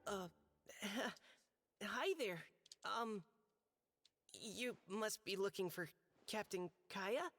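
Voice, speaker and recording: A woman's voice: mid-range voice, slow pace, pauses often, a bit bright. A woman voicing a shy, nervous boyish young knight in a video game, stammering, flustered and polite.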